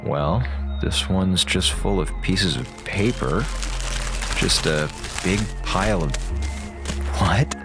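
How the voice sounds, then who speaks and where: Low-voiced, wisecracking space broadcaster. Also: male voice, takes it slowly, long pauses, over music. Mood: curious, amused. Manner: casual, rambling, sarcastic, exclamatory. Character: wisecracking, easily distracted male space station radio broadcaster. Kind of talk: audio drama, chatty monologue to listeners.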